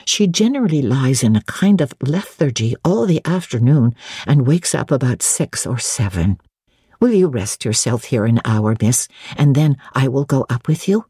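Homely female ex-nursemaid and young mother acted by a narrator, kindly, respectful, mellow slightly husky voice, storybook dialogue. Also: rumbling low, expressive, moderate pace, dry recording, clean studio sound, pitched below the performer's own voice, full character voice. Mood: gentle, informative. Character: kindly, bustling former nursemaid, quick-tempered young mother. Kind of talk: audiobook character dialogue.

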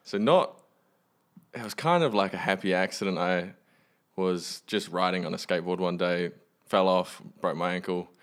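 Young guy from western australia, really low voice, musician guest just chatting, understated, matter of fact. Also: moderate pace, fairly bright tone. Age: young adult. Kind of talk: casual chat.

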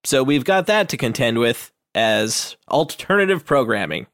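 A man's voice: medium pitch, very animated, normal speed, a bit bright, no room sound, clean studio sound. A man who is a regular player on a tabletop game podcast, wry and a little hesitant. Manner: wry, hesitant, uses fillers. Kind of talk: casual banter.

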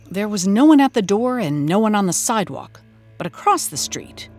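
A woman playing a wry investigative podcast host, narrating a scripted mystery with deliberate suspense. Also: mid-range voice, darkish tone. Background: music.

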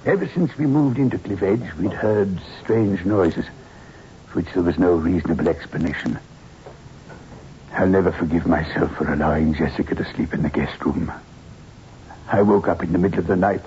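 An actor playing a superstitious, courteous old widower in a 1960s radio horror drama, speaking gravely and hesitantly. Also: male voice, low-pitched, moderate pace, dark tone, lightly acted. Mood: grave, foreboding. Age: older. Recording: dull, noisy old radio recording.

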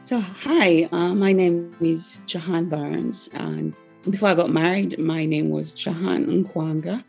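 A woman from Uganda telling her personal story as a podcast guest, measured and with fillers. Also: on the low side, gravelly, darkish tone, soft background music. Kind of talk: personal testimony.

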